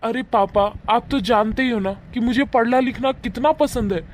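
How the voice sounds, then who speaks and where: A man voicing a devoted adult son, earnest and protective of his family, in an animated moral story. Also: very high voice, moderate pace. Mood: pleading.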